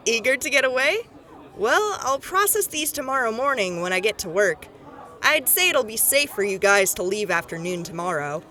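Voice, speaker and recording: A woman's voice: on the high side, expressive, moderate pace, sharp tone. A woman voicing a cheerful, gossipy clerk going over paperwork in an audio drama. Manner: bubbly and gossipy.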